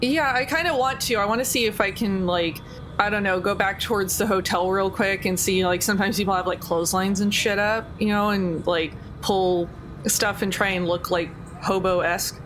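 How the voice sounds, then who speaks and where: A woman's voice: mid-range voice, normal speed, fairly bright tone, soft background music. A woman playing in a tabletop game, talking casually and rambling with fillers. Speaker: player. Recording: noisy background.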